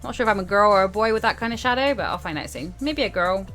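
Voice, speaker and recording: A woman's voice: mid-range voice, lively intonation, fast talker, fairly bright tone. Woman casually hosting a gaming playthrough. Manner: informal, stumbles over read text and comments on it. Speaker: gaming video host. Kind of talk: casual let's-play, reading game text aloud with chatty asides. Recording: music behind the voice.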